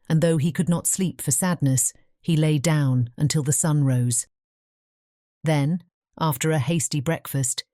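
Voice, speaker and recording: Low, mellow woman narrating a fairy tale. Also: no room sound, studio quality. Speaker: audiobook narrator.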